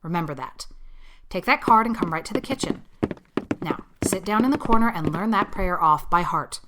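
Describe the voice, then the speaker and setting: A woman playing a strict, brisk female guardian in an audiobook, with a curt, reproving manner. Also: on the low side, animated, heavy background noise, natural voice. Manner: curt, reproving, matter-of-fact. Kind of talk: audiobook character acting.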